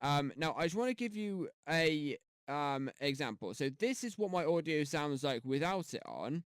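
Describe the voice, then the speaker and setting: A man's voice: a little high, animated, moderate pace, smooth, no room sound, studio quality. A man hosting a casual audio-editing tutorial on a headset mic, rambling with fillers and restarts. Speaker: YouTube tutorial host. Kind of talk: casual screen-recorded tutorial. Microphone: gaming headset mic.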